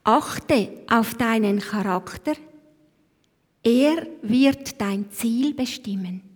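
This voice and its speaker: A Swiss woman leads a church service, her slightly high voice reading scripture at a slow, thoughtful pace. Her phrase ends sink to a near whisper. The sound carries the long reverb of a church hall. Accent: Swiss German. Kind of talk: devotional talk and scripture reading.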